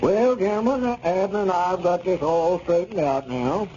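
Man acting a mellow, scheming small-town squire. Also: high-pitched, natural voice. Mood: smug. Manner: genteel, unctuous, deliberate politeness. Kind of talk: 1930s radio comedy acting. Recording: muffled, noisy 1930s radio recording.

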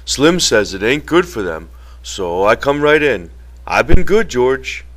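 A man's voice: medium pitch, very animated, unhurried, fairly bright tone. A man voicing a childlike, simple-minded, earnest big man in an audiobook, with artless phrasing. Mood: defensive.

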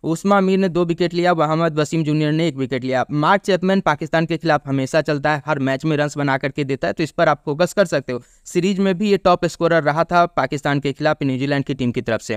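A man giving a cricket pitch report and match preview, reading out scores steadily with brief restarts. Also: quick pace, hardly any pauses, clean tone, no room sound, studio quality. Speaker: cricket analyst.

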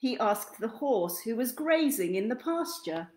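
A woman's voice: a little high, sing-song, measured pace, darkish tone. A young woman narrating a children's picture book expressively, recorded in a small room at home. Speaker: children's storytime reader. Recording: roomy, echoey webcam sound.

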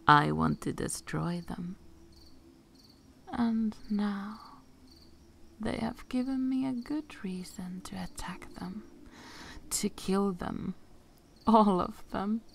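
Woman playing a vampire mistress, ASMR roleplay, breathy half-whisper, extremely slow, creaky, seductive, cruel yet loving. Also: medium pitch, fairly bright tone, slight background noise, heavily acted. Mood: vengeful, gloating. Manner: slow, theatrical, with long pauses.

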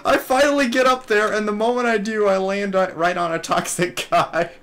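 A man's voice: high-pitched, steady tone, normal speed, fairly bright tone. A young man giving casual, amused commentary over his own gameplay, laughing often. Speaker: gameplay video host. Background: background music.

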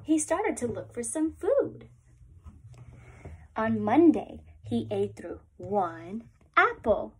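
A young woman, a preschool teacher, reading a picture book aloud to small children at home in a gentle, animated storytime voice. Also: a little high, slow pace, pauses often, a little hiss or hum. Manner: gentle, animated storytime voice, lifts up on repeated lines. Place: small room at home, indoors.

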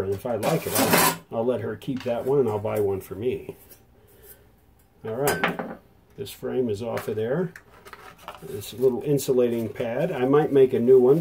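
A man's voice: mid-range voice, mellow, slight background noise. A man talking casually through a hands-on repair as the host, in an easygoing, folksy way, thinking aloud as he works. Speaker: host of a radio repair channel. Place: indoor workshop at a bench.

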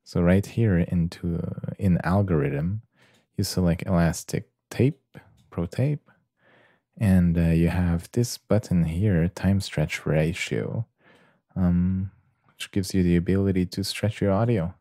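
A man presenting a casual software tutorial in a hesitant, halting way with many pauses. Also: sits low, dry recording, studio quality.

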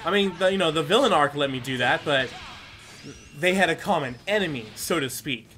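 A man's voice: on the high side, animated, brisk, sharp tone, slight background noise. A man giving casual, rambling gameplay commentary as the host, self-deprecating and given to mild swearing. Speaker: gaming video host.

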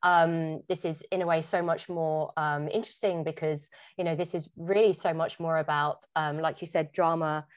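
A woman's voice: deep voice, fairly even intonation, normal speed, hardly any pauses, no room sound, clean studio sound. A woman hosting a conversational interview, attentive and a little tentative as she phrases her questions. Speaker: interviewer, podcast host.

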